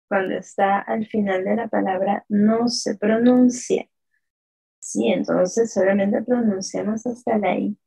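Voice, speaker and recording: Female teacher, mellow and husky, slow deliberate delivery for a live class drill. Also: mid-range voice, rough recording. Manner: slow, drawn-out pronunciation drills; asks the chat questions and praises answers. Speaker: French teacher. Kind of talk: live online lesson.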